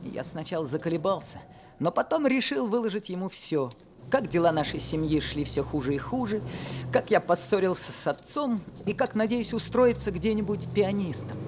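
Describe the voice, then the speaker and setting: A man's voice: sits high, expressive, normal speed, a little mellow, a little hiss or hum. A young man narrating earnestly in an old radio drama. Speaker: narrator and teenage hero. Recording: old radio play with music.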